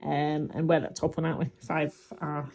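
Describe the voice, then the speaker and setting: A woman with a slightly low voice talks casually, as if to her partner at home, now and then reading out instructions. Music plays clearly behind her. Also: level tone, moderate pace, darkish tone. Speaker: parent vlogger setting up a children's gadget. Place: indoors at home.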